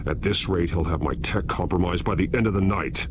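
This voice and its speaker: A man voicing a grim masked crimefighter in a video game. The voice is extremely low, and the delivery terse, stern and commanding. There is clear ambient noise behind it. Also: brisk, lightly acted. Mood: concerned. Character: grim, commanding male masked vigilante hero.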